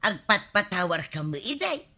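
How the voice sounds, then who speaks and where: Husky, animated haggling village customer. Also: female voice, medium pitch, moderate pace, exaggerated voice. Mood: stubborn haggling. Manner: insistent, grumbling. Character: stubborn haggling female village customer, touchy and tight-fisted. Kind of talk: comedy radio drama acting.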